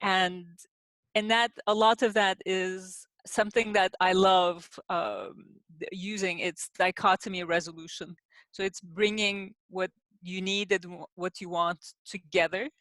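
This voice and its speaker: A woman, an industrial designer answering as a podcast guest, explaining her work in a measured, thoughtful way with pauses. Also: on the low side, fairly even intonation, fairly bright tone, no echo. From Turkey. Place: home in the city, sheltering in place.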